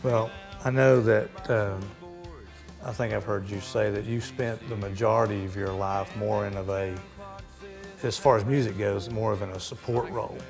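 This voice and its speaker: A man with a gravelly, fairly low voice hosts a casual interview. He asks friendly questions in a halting way, with fillers between his words, while guitar music plays clearly behind him. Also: fairly even intonation. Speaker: interviewer, host.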